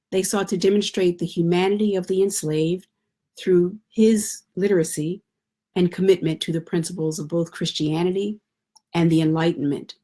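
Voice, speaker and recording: A woman literature professor giving a measured scholarly talk over a video call. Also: lowish voice, darkish tone. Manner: measured, lecturing, occasional restarts. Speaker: literature professor, guest speaker. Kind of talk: scholarly talk at an online event.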